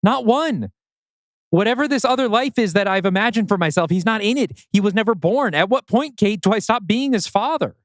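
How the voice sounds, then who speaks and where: Man playing an anguished, accusing young father, animated delivery. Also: sits high, fairly quick, no room sound, studio quality. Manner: intense, pointed questioning. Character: anguished 39-year-old father, bitter and accusing. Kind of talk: dramatic audio script reading.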